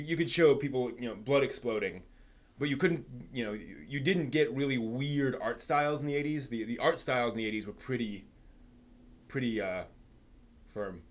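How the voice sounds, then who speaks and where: Man chatting off the cuff on a livestream. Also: medium pitch. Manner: halting, thinking aloud, repeats and rephrases. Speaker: livestream host. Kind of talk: casual live-stream chat, answering viewers' comments.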